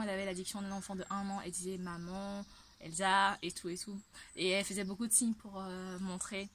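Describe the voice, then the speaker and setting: Female vlogger, twenties, from Ivory Coast: mid-pitched, fairly flat delivery, sincere and conversational personal storytelling. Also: a little hiss or hum. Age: 20s. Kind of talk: personal testimony, casual talk to camera.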